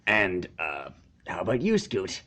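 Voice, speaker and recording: A man's voice: low voice, wildly swooping pitch, measured pace, fairly bright tone, pitched below the performer's own voice, exaggerated voice. Man playing a friendly, mild young dragon. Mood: friendly curiosity. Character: friendly, slightly nervous male furnace-like dragon youth.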